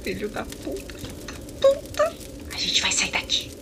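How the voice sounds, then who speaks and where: A woman playing a defiant, resolute young captive in a Brazilian audio horror drama, giving urgent, often hushed orders. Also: high voice, huge pitch swings, a little slow, creaky, noisy background, heavily acted. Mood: angry defiance.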